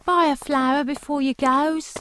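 A man doing a shrill flower girl. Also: steady tone, moderate pace, sharp tone, slight background noise. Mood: pleading. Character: poor young street flower girl, pleading. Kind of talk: audiobook reading.

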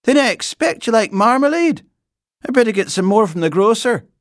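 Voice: medium pitch, very animated, moderate pace, no room sound, studio quality, pitched above the performer's own voice, natural voice. A performer voicing a stout, fierce but motherly older housekeeper who fusses and exclaims bluntly in a storybook reading. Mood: brusque, grudging.

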